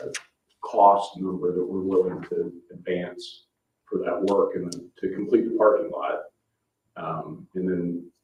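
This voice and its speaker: Man, meeting chair, low-pitched, procedural loose delivery, far from the mic in an echoey room. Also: dark tone. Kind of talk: formal public meeting talk, loosely spoken. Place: indoor public meeting room. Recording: distant microphone, echoey room, slightly muffled.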